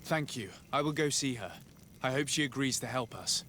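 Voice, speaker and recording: A man's voice: medium pitch, moderate pace, a bit bright, slight background noise, lightly acted. A man playing a courteous, composed noble envoy in video game cutscene dialogue. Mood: calm, polite.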